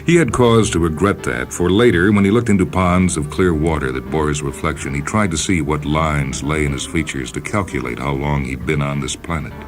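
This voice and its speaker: A man reading a short story as a dramatic, measured and expressive narration. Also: extremely low pitch. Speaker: reader of short stories for a radio program. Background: music.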